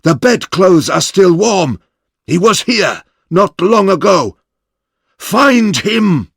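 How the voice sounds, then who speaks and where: Guy putting on a stern samurai chief, loud and high-pitched, barking orders, dry sound. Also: animated, slow pace, vocal fry, no room sound, full character voice. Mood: urgent shouting. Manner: urgent, raised shouting voice. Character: stern samurai leader, grown man, commanding.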